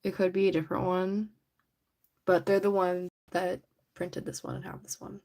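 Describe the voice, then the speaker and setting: A young woman hosting a casual livestream, chatty and giggly, her voice a little raspy from allergies. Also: fairly low pitch, little pitch movement, normal speed, mellow. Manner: chatty, giggly, rambling with many "like"s. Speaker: livestream host. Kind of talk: casual livestream chat while painting a figure. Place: indoors at home.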